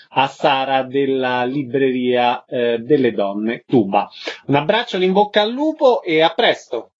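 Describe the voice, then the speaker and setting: A man's voice: medium pitch, darkish tone. A man hosting a radio programme, presenting and putting questions to guests in an interview style. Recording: slightly dull lo-fi radio audio.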